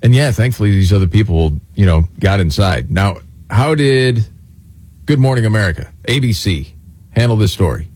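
A man's voice: lowish voice, expressive, moderate pace, darkish tone, dry recording. A man hosting a talk radio show, opening the segment and joking wryly about the news. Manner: wry, sarcastic banter. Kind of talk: casual talk radio chat.

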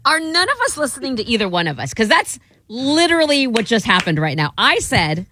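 A woman's voice: a little high, sing-song, a little fast, sharp tone, a little hiss or hum. A woman co-hosting a radio show, playful and teasing in casual banter. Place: radio studio.

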